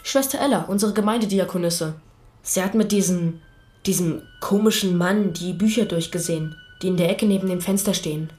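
A woman's voice: lowish voice, a little fast, darkish tone, close to an everyday voice. A woman playing a pastor's young son, a hesitant and literal witness giving terse answers in a radio play.

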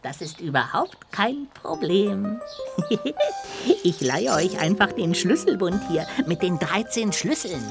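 Theatrical, very animated little ghost. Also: female voice, lowish voice, slow, creaky, music in the background, full character voice. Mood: gleeful, instructing. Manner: formal, theatrical, expressive. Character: polite, emotional little ghost, despairing then eager. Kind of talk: children's radio play acting.

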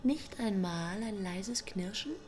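An actress playing a persistent, nagging angel in a radio drama, hushed and probing. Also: female voice, mid-range voice, slow, soft background music, natural voice. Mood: quietly needling. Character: persistent, nagging guardian angel, a quiet watcher.

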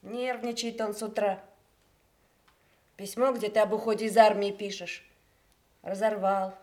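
A woman's voice: mid-range voice, level tone, measured pace, a little mellow, close to an everyday voice. A woman acting an anxious, affectionate mother who coaxes her family, in a Soviet radio play. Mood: anxious, coaxing.

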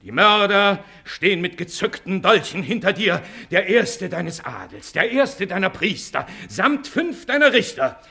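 An actor playing a fiery advocate in a radio play, declaiming bombastic accusations in with rhetorical questions. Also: male voice, high-pitched, sing-song, a little slow, creaky, few pauses, bright, forceful tone, husky, exaggerated voice. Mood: accusing. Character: fiery male advocate accusing priests of corruption. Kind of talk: theatrical courtroom oratory in a German radio play.

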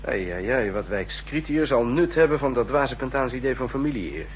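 A man acting a suave, scheming official of a foreign state in a 1960s radio drama, formal, theatrical and deliberate. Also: medium pitch, animated, lightly acted. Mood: sly, confidential. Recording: noisy old 1960s radio recording.

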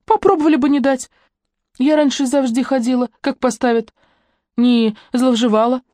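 A narrator voicing a shy but bold young woman who speaks Russian with a Ukrainian accent, in a lively, folksy manner. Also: sits high, normal speed, no room sound, voice pushed up, close to an everyday voice. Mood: spirited.

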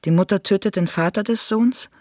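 A woman's voice: medium pitch, fairly quick, a little mellow, no room sound, clean studio sound, natural voice. An actress playing a tipsy woman who recounts her evening alone in a radio drama monologue. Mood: tipsy, relaxed.

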